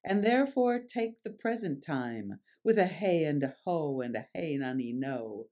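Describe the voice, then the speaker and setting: A presenter giving scripted narration and reciting verse in a measured, deliberate, theatrical way. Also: mid-range voice, animated, mellow. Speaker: presenter and reader.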